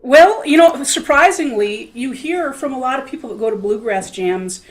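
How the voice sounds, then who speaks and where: A woman's voice: high-pitched, animated, moderate pace. A woman who plays the dulcimer, chatting casually about a local jam, with lots of fillers and a self-deprecating humor. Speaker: dulcimer player. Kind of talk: casual chat. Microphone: home setup, playing in her room.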